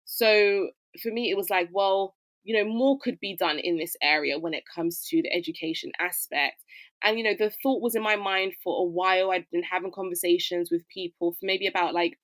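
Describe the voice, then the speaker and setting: A woman's voice: fairly low pitch, normal speed, fairly bright tone, no echo. A woman, a fashion educator, answering interview questions in a conversational, reflective way with frequent fillers. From United Kingdom.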